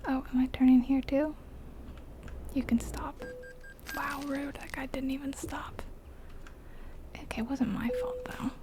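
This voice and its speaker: A woman's voice: sits high, fairly even intonation, unhurried, long pauses, background noise. A woman whispering her way through a game in a chatty, rambling ASMR style. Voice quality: breathy unvoiced whisper. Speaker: ASMR gaming creator. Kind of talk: whispered ASMR gameplay commentary.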